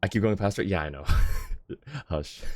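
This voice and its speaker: A man's voice: low-pitched, sing-song, a little slow, darkish tone, no room sound. A man chatting casually as a game streamer, thinking aloud in an informal way. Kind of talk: casual livestream chat and game commentary.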